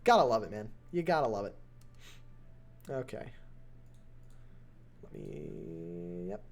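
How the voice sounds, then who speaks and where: A young man with a voice going hoarse, calling a mobile strategy game match on his live stream. His play-by-play is excitable and builds to near shouts at close finishes. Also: lowish voice, takes it slowly. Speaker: esports caster and streamer.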